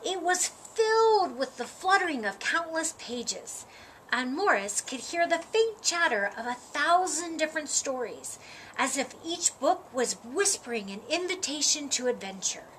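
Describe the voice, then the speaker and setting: A woman reading a children's story aloud, with expressive, deliberate phrasing and dramatic emphasis. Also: sits high, rough recording. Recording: audible background noise.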